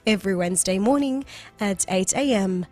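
Woman, announcer, scripted read, medium pitch, faint background music. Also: normal speed, a little mellow. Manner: smooth read promo. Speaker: show announcer.

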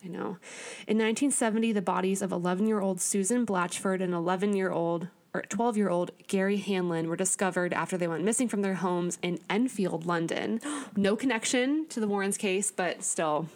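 Woman, podcast co-host, reading notes aloud, earnest, casual, mid pitch. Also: moderate pace, a little mellow. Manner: earnest, with small stumbles and asides.

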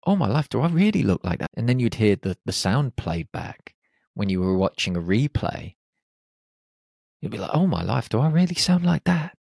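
A man hosting his own podcast, talking alone in a lively, animated way with plenty of exclamations. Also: medium pitch, normal speed, creaky, breathy edge, dry recording, studio quality. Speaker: podcast host. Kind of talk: solo podcast monologue. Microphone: podcast microphone.

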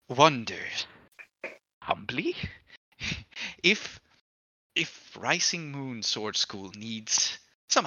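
Casting: man as a grovelling demon envoy for a tabletop game, hushed and menacing, haltingly obsequious, sharp-edged tone. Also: medium pitch, dry recording, full character voice. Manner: obsequious, halting, self-abasing, whispery menace. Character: servile, creepy demon emissary in a grinning man's body.

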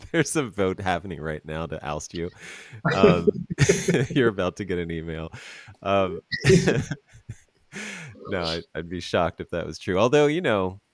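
A man's voice: deep voice, sing-song, slow pace, no echo. A man hosting an interview podcast, chatting casually, teasing his guest and laughing often. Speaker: podcast host.

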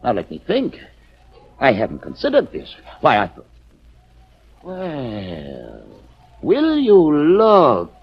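An actor playing a pompous, silky villain posing as a doctor, mock-courteous and theatrical in 1940s radio style. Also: male voice, on the high side, huge pitch swings, takes it slowly, pauses often, husky, heavily acted. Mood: sly. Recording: dull old 1940s radio recording.

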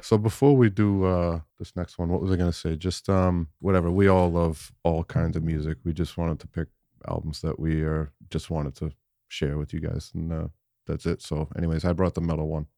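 A man's voice: sits low, flat delivery, fairly quick, creaky, mellow, no echo, clean studio sound. A man in his late thirties co-hosting a casual music podcast, rambling and self-deprecating with lots of fillers. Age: 30s. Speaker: podcast co-host. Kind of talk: casual chat.

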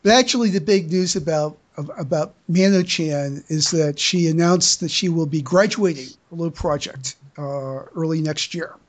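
A podcast host working through fan news in casual chat. The delivery is halting and stammering, with words often repeated, at a middling pitch.

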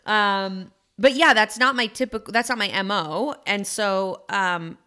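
A woman's voice: medium pitch, unhurried, bright, forceful tone, clear, resonant tone. A woman in her thirties co-hosting a podcast, talking in a musing way with many fillers and restarts. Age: 30s. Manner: musing, frequent fillers and self-corrections. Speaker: podcast co-host, acupuncturist. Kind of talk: casual chat.

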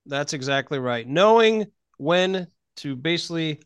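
A man's voice: fairly high pitch, expressive, measured pace, clean tone, no room sound. An American man hosting a local radio show, presenting upbeat news and interviews.

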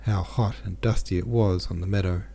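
A man with a deep voice reading the lines of a sad, gentle young merchant from a novel. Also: fairly even intonation, moderate pace, gravelly, slight background noise. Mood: heavy-hearted. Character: young male merchant, heavy-hearted and gentle.

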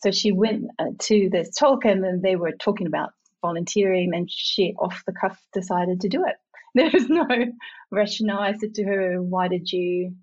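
A woman's voice: medium pitch, normal speed, darkish tone, slightly rough. A middle-aged woman from Samoa, talking reflectively as an interview guest, laughing often and sometimes restarting her sentences. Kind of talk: conversational interview. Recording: dull lo-fi sound.

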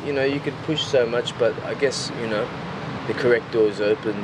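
A man's voice: medium pitch, moderate pace, noisy background. A man in his early thirties with an Australian accent, chatting casually and in a rambling way as an interview guest. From Newcastle, Australia. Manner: informal, rambling, frequent "like" and "you know".

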